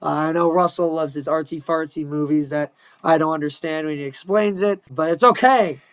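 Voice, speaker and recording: A man's voice: on the high side, normal speed, no room sound. Upbeat jokey man in his twenties. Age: 20s. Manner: upbeat, jokey, loud bursts. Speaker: podcast co-host. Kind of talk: casual chat. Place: home room.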